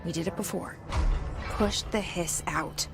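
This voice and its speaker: An actress voicing a guarded, determined young woman who has become an agency director, with a hushed, inward inner voice and blunt speech aloud. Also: lowish voice, a little slow, creaky. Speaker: video game protagonist. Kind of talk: cutscene dialogue and inner monologue. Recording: game audio with music and noise.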